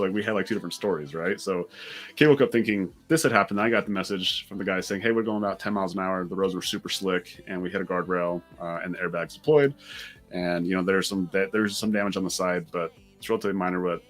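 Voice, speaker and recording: A man's voice: sits low, a bit bright. A man chatting casually as a vlog host, telling a story in an informal way and repeating words as he works out his point.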